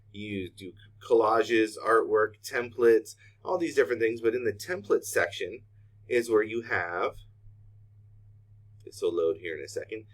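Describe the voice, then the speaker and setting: A middle-aged man, walking through a software tutorial in a casual, unscripted way as a trainer. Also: fairly low pitch. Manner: casual, unscripted, thinking aloud while clicking. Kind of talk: informal screen-share tutorial.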